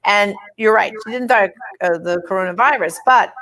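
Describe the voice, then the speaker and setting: A woman from Brooklyn hosts a casual chat, her New York accent plain in every phrase. She talks in a chatty, animated way, jumping in with quick remarks. Her pitch sits in the middle for a woman. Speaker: host.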